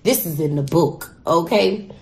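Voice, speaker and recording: A woman's voice: lowish voice, expressive, measured pace, gravelly, darkish tone. A woman in a played video clip giving a chatty, blunt book review and laughing at her own lines.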